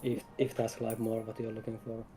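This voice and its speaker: A man's voice: fairly low pitch, monotone, fairly quick, dark tone, slight background noise. A man speaking casually and a little hesitantly as a game developer guest in an interview. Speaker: game developer, lead designer, stream guest.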